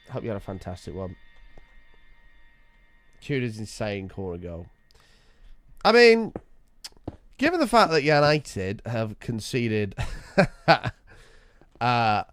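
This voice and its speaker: A man streaming a game, chatting casually and wryly with his viewers while his voice is hoarse and sore. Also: medium pitch. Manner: bantering, wry, teasing chat members.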